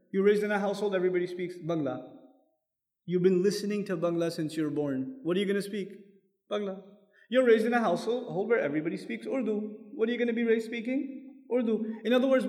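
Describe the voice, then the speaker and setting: A man teaching a Quran lesson as a sermon, explaining step by step, quoting Arabic verses and putting questions to his listeners. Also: sits high. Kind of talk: lecture-style sermon. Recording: long hall reverb.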